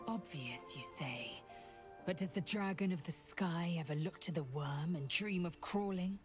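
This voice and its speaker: A woman's voice: lowish voice, measured pace, natural voice. An actress playing a proud, zealous alien warrior woman in a video game, with an imperious, clipped and intense delivery. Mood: proud, reflective. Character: fierce, proud young githyanki warrior woman, zealous and haughty. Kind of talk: dramatic video game acting. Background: background music.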